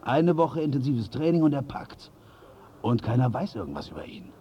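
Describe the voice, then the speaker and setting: An actor playing a keen football colleague in a radio drama, talking colloquially and persuasively. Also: male voice, medium pitch, very animated, normal speed, lo-fi sound, background noise, natural voice. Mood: urging. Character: keen, insistent male football colleague urging a gamble.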